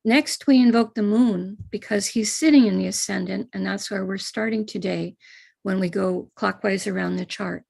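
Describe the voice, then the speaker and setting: A middle-aged woman with an American accent, a spiritual teacher calmly guiding listeners step by step through a live-streamed ritual. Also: mid-range voice, moderate pace, gravelly, no room sound. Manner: calm, gentle step-by-step guidance.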